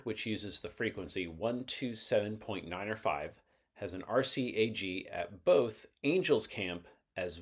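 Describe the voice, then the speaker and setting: A man reading scripted instructional narration in a measured, methodical, explanatory way. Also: lowish voice.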